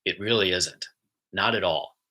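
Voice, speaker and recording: A man talking casually as a spiritual host, chatty and easygoing, then slow and soft when he guides a relaxation exercise. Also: sits low. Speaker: spiritual host and intuitive channeler.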